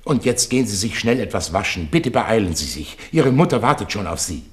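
A man's voice: fairly low pitch, big pitch swings, brisk. An actor playing a loyal male tutor to a young prince, speaking formally and respectfully in an old radio drama. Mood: urgent. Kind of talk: 1970s radio drama acting.

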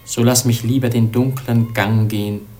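An actor playing a lovesick young prince, declaiming with solemn, anguished fervour in a radio play. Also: male voice, mid-range voice, steady tone, normal speed, dark tone, soft background music, natural voice. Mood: solemn devotion. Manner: solemn, fervent, lofty declamation.

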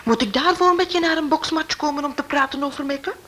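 A woman's voice: sits high, quick pace, fairly bright tone. An actress playing a feisty, impatient wife in her thirties in a radio drama, scolding sharply. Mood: exasperated. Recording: faintly noisy radio play recording.

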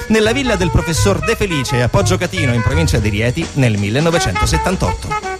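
A man's voice: on the high side, wildly swooping pitch, quick pace. A man delivering dramatic scripted narration as a theatre narrator, emphatic and ironic. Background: music.

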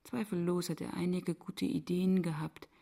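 An actress playing a defiant, sardonic woman scholar in a radio play, speaking deliberately and provocatively. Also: on the low side, little pitch movement, vocal fry, mellow. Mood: cool, mocking.

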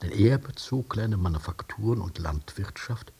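An actor playing a calm, probing dream interpreter in a radio play, measured and dryly ironic, quietly mocking. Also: male voice, fairly low pitch, creaky, slightly rough, full character voice. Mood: dryly challenging. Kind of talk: German radio play acting.

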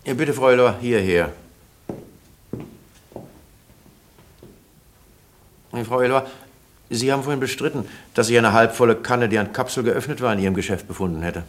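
An actor playing an insistent, probing examining magistrate in a 1950s German radio play. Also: male voice, mid-range voice, fast, natural voice. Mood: formal, polite.

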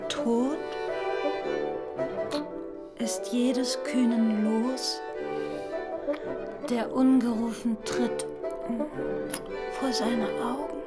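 Over loud violin music, a young woman in love, teasing and theatrical. Her voice is high and she takes her time, like a heroine of a literary radio play. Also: flat delivery, very slow, pauses often. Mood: theatrical declaiming. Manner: playful, theatrical, declaiming verse. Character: playful, teasing young woman, the narrator's live-in lover. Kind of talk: literary radio drama acting.

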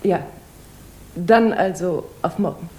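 An actress playing a brisk, friendly yet guarded married lady in a 1950s German radio drama. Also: lowish voice, heavy background noise.

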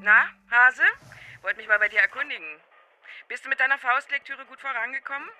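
A woman's voice: high voice, a little fast, bright, forceful tone. A woman playing a doting, teasing caller who needles her darling in a cutesy, mock-considerate manner. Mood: teasing, cooing.

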